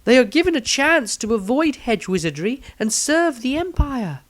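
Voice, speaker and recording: A man's voice: very high-pitched, sing-song, normal speed, pitched above the performer's own voice. A man voicing an earnest, educated female fantasy adventurer who lectures formally in a storybook. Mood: earnest appeal. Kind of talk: storybook character acting.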